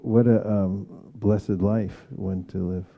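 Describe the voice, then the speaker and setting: A man speaking as a spiritual teacher in an informal devotional discussion, reflective and halting in his phrasing. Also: lowish voice, steady tone, vocal fry, highs cut off. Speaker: spiritual teacher leading a scripture class.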